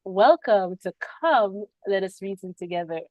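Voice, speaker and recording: A woman's voice: medium pitch, expressive, unhurried, no echo, muffled recording. A woman hosting a spiritual podcast on a video call, speaking reflectively and laughing often between thoughts. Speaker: podcast host.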